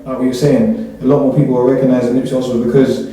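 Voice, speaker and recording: A man's voice: medium pitch, little pitch movement, quick pace, mellow, a little hiss or hum. A man with a London accent chatting casually about his opinions, using slang and frequently checking that he is understood. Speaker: discussion panel member. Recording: very echoey room, distant mic.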